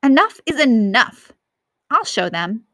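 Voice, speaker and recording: Woman reading as a nervous defiant schoolboy, earnest, indignant, higher-pitched, bright, storybook style. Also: sing-song, takes it slowly, dry recording, studio quality, heavily acted.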